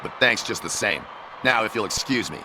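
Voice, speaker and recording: A man's voice: lowish voice, lively intonation, a little fast, background noise, natural voice. A man playing a brash, emphatic young pro wrestler in a video game cutscene. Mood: defiant. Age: young adult.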